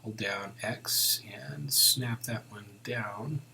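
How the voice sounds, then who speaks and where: Slow-paced man narrating a software tutorial. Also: lowish voice, vocal fry, slight background noise. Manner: unhurried, thinking aloud. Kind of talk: informal step-by-step screen tutorial narration.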